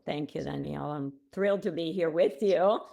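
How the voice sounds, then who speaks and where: A middle-aged woman from Cuba, a podcast guest, telling her life story in an animated way, with restarts and laughs. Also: low voice, normal speed, creaky.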